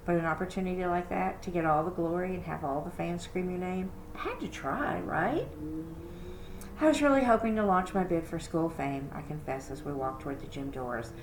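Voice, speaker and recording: A woman's voice: on the low side, moderate pace, lightly acted. A stubbornly hopeful middle-school girl from a storybook, acted out by a woman reader. She is sarcastic and dramatic about her own shortcomings. The sound is roomy, with faint noise behind. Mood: hopeful, scheming. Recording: roomy echo, background noise.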